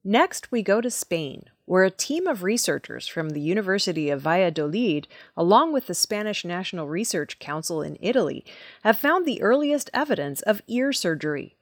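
A woman's voice: medium pitch, sing-song, normal speed, dry recording. A woman presenting scripted news narration, reading as a news presenter.

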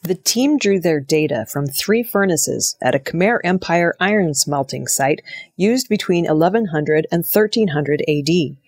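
A woman newsreader delivering scripted narration in a measured, formal manner. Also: low voice, expressive, a little mellow, no room sound, studio quality. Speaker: audio news reader.

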